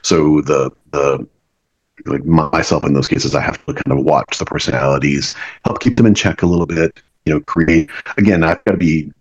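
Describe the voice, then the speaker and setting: Very deep, husky-voiced man. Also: normal speed, lo-fi sound. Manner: earnest, repeats and restarts words often. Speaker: guest, business leader. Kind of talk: reflective podcast conversation.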